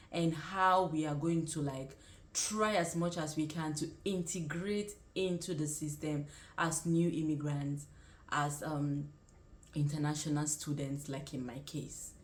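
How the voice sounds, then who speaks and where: A young woman from Nigeria with a Nigerian accent, chatting casually as a vlogger giving advice, often circling back to rephrase as she explains. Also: deep voice. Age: young adult. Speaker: vlogger and nursing student. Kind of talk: casual direct-to-camera advice chat. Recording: roomy, echoing sound.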